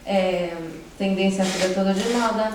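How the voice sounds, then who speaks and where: A teenage girl from Brazil talks on a panel in a relaxed, faltering way, pausing often and leaning on fillers. Her voice comes from some way off the mic. A large hall throws a heavy echo around it. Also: medium pitch, noisy background. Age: teens. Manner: hesitant, many fillers and pauses. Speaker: panel speaker. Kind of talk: casual panel talk. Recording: echoey hall, mic at a distance.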